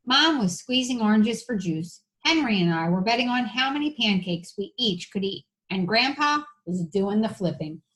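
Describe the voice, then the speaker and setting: A woman's voice: mid-range voice, lively intonation, normal speed, hardly any pauses, fairly bright tone, low-quality recording. A woman reading a children's storybook aloud like an elementary teacher, with casual asides to the listeners.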